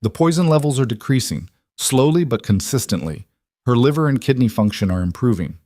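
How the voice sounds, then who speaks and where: A man voicing a calm, professional female toxicologist who speaks in a clinical, careful way in an audio story. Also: medium pitch, fairly quick, dry recording, studio quality, voice pushed up, close to an everyday voice.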